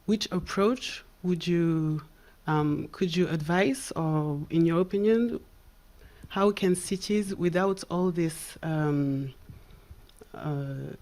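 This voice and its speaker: A woman's voice: lowish voice, mellow. A woman architect from Cameroon asking a question from the audience, hesitant and searching for words.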